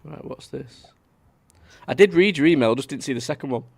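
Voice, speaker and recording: A man's voice: mid-range voice, fairly quick, gravelly, a little mellow, husky, no room sound. A man, chatting casually to viewers on a live stream and reading out their comments. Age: 30s. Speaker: livestream host.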